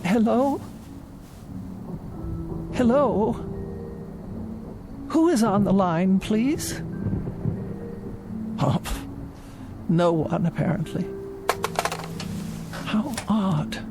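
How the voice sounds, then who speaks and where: Casting: elderly housebound woman, gravelly, quavering, radio drama. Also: mid-range voice. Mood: uneasy. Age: older. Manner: quavering, hesitant, soft-spoken. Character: frail elderly housebound woman, lonely and fretful. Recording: radio drama with music underneath.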